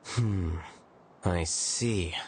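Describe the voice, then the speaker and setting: An actor playing a calm, blunt, rational young male scholar in an anime video game, measured and detached. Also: sits low, noisy background, exaggerated voice. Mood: detached, analytical.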